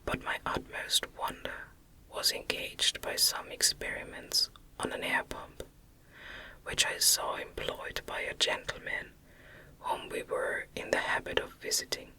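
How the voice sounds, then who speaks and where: A woman reading a classic novel as ASMR narration in a soft, breathy, unvoiced whisper, hushed and measured, close to the microphone. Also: poor audio.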